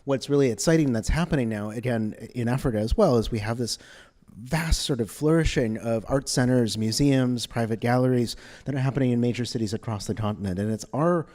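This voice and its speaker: Museum panelist, man, mellow and earnest, hall echo. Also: medium pitch, a little fast. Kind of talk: panel discussion. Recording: echoey hall.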